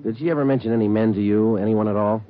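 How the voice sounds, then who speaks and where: Man playing a rapid, clipped police sergeant. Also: fairly low pitch, lightly acted. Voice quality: clipped, deadpan. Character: terse male police sergeant, clipped and relentless. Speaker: police detective. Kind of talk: 1940s radio acting. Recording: tinny 1940s radio recording.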